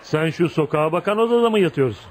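A man's voice: a little high, animated, quick pace, some chatter behind, close to an everyday voice. A man acting in a Turkish radio play as a tough, streetwise young dockworker who hides his kindness behind blunt, swaggering talk. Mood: cocky, friendly. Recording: noisy radio drama recording.

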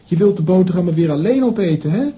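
A man's voice: high-pitched, lively intonation, fast talker, very noisy. An actor playing a plucky, reassuring young boy in a children's audio drama. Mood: teasing, concerned.

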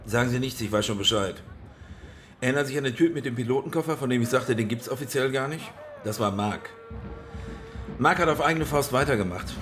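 A man playing a self-assured stadium executive in a radio crime drama, talking in a brisk, knowing way. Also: mid-range voice, animated, fairly bright tone, soft background music, natural voice. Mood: confiding.

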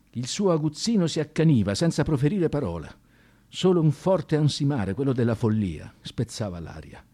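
A man narrating a dramatic scripted story in an expressive, theatrical radio-theatre manner. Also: medium pitch.

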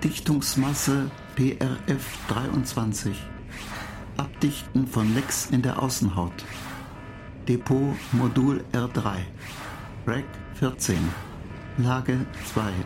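An actor in a radio play voicing an impassive spaceship computer that makes clipped, monotone technical announcements. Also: medium pitch, takes it slowly, pauses often, music in the background, close to an everyday voice. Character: impassive spaceship computer reporting faults and countdowns.

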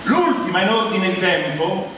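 A man with a Roman accent and a slightly high voice performs a comic monologue about himself on stage. His delivery is conversational and exasperated. The recording is distant, with heavy hall echo and clear background noise. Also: big pitch swings, moderate pace. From Rome, Italy. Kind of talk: comic autobiographical theatre monologue. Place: live stage performance in a theatre. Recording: echoey theatre hall, distant mic, noisy.

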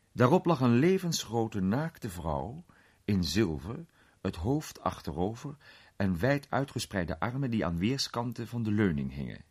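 A man playing a worldly film actor in a radio drama, recounting an unsettling memory in a conversational, sometimes hesitant, theatrical way with a husky voice. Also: on the low side, a little mellow, heavily acted. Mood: uneasy, confiding. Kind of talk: radio drama storytelling in character.

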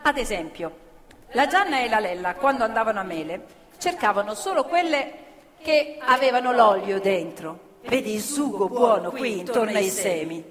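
A woman's voice: fairly high pitch, expressive, normal speed, a crowd in the background. A woman narrating a stage play expressively, with a rhythmic, sometimes half-sung delivery. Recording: live stage recording in a reverberant theatre with violin.

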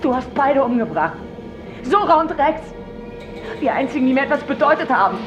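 A woman's voice: high voice, big pitch swings, a little fast, lo-fi sound, over music. An actress playing an embittered, grieving woman in a radio drama, hostile and scornful in her delivery. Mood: bitter accusation. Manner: accusatory, scornful.

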